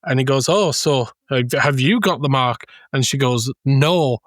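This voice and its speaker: A man co-hosting a casual film discussion, telling anecdotes in an animated way and acting out quotes. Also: mid-range voice, unhurried, dry recording, studio quality. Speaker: podcast co-host.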